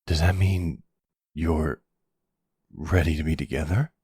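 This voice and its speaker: A man playing an older, calm and devoted mafia boss in an intimate roleplay audio drama, measured and affectionate. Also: no room sound, studio quality, full character voice. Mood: tender, relaxed. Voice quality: low, gravelly.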